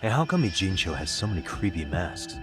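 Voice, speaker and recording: A cool, cocky detective speaks in a low voice with casual, dry confidence. He probes and needles calmly, as in a dubbed game cutscene, over clearly audible music. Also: a little fast. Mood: curious, calm. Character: confident, cocky male detective, calm and dryly probing. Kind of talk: English dub acting for a game cutscene. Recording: music behind the voice.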